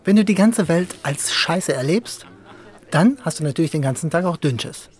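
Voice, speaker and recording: Casting: male actor for insistent, well-meaning buddy in conversational radio drama; fairly high voice, colloquial and a little halting. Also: animated, a little mellow, natural voice. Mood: lecturing. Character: exasperated, well-meaning man contradicting his pessimistic friend.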